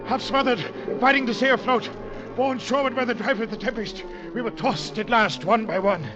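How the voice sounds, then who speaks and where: A measured gentleman adventurer narrating. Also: extremely high pitch, fairly even intonation. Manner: measured, earnest storytelling. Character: cultured English gentleman adventurer, the narrator Holly. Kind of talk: 1950s radio drama narration and acting. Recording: muffled old radio broadcast with music.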